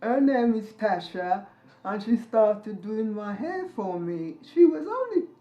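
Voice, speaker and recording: Slow-spoken woman in her sixties. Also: medium pitch, sing-song. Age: 60s. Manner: slow, searching, with pauses and soft laughs. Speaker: interviewee. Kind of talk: reflective personal storytelling. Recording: roomy, slightly dull recording.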